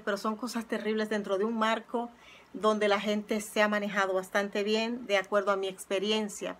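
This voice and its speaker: A middle-aged woman hosting her own channel, talking earnestly and reflectively from her couch at home into a close phone camera, often pausing with "eh". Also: medium pitch, a bit bright. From not Puerto Rico, living there twenty years. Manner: earnest, reflective, frequent "eh" fillers. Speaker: channel host. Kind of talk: casual heartfelt vlog monologue. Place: living room at home, seated on a couch. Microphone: phone or webcam close up.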